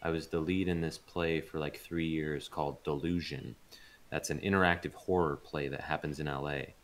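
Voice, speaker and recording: Man, extremely low voice, casual interview guest, rambling, informal, thinks as he talks. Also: fairly even intonation, moderate pace. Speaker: voice actor being interviewed. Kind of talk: casual chat in a livestreamed interview.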